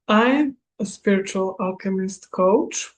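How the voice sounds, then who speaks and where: A woman in her thirties from Serbia, a native Hungarian speaker, giving a recorded spiritual coaching class in a measured manner. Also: medium pitch. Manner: slow, measured, with small laughs. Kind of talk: recorded masterclass talk.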